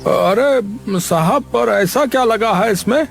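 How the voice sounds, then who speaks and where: A man voicing an elderly, talkative village uncle in a cartoon, with a gravelly voice. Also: sits high, expressive, slow pace, soft background music, heavily acted. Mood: puzzled. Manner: chatty, proud of his nephew. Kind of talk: cartoon voice acting.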